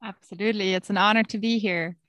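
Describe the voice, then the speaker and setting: German-accented woman, former teacher, earnest guest, mid pitch, dry sound. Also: steady tone, fast talker, fairly bright tone, no room sound. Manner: earnest and open, laughs easily. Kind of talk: interview conversation.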